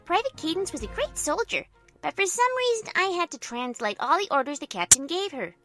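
A woman's voice: very high-pitched, sing-song, a little fast, exaggerated voice. A woman voicing a bossy, self-important little girl who plays army general, telling her story in an earnest, pompous, childlike way. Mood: earnest, boastful. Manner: earnest, pompous, childlike narration with barked orders. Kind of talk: first-person story narration. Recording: music behind the voice.

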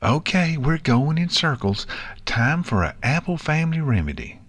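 A man's voice: fairly high pitch, very animated, moderate pace, fairly bright tone, voice pushed up. A man voicing a confident, kindly young farm pony filly with a folksy, reassuring way of talking. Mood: decisive.

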